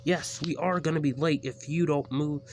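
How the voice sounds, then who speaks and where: A man's voice: fairly high pitch, fairly even intonation, normal speed, creaky, faint music behind, voice pushed up. A man voicing an indignant male engine driver, firm and scolding.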